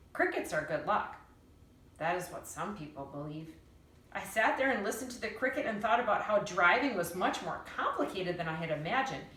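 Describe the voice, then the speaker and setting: A woman reading a children's novel aloud like a teacher, with animated, dramatic storytelling and emphasis on key words. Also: mid-range voice. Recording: roomy echo, distant mic.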